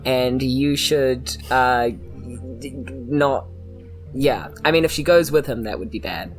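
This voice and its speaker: A woman with a very deep voice and a New Zealand accent. She improvises casually as a tabletop player, blunt and informal in her wording. There is soft music under her. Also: takes it slowly. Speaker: podcast player in a tabletop game. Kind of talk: casual improvised role-play.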